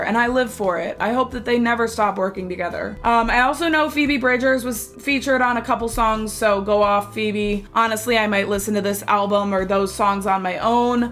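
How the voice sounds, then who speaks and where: A woman's voice: a little high, fairly bright tone. Stuffy-nosed woman, chatty and gushing. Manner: gushing, enthusiastic, chatty with fillers. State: stuffy from a cold. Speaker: music reaction vlogger. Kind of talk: casual chat.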